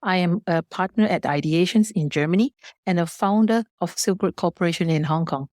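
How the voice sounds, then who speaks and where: A woman's voice: fairly low pitch, fairly quick, darkish tone, dry recording, studio quality. A woman from Malaysia giving formal, prepared, explanatory answers as a business guest on a podcast.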